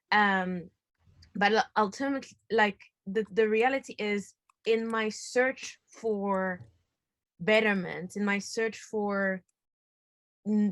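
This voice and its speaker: A woman's voice: mid-range voice, no echo. A woman in her twenties from the Democratic Republic of Congo, talking earnestly and passionately as a guest on a video call from home. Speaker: guest filmmaker. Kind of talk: casual discussion. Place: home living room. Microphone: video call laptop camera.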